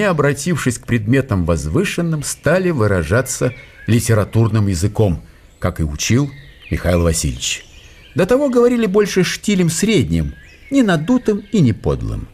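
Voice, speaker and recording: Guy narrating a radio play, pitch swoops all over, very expressive. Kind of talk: scripted literary narration.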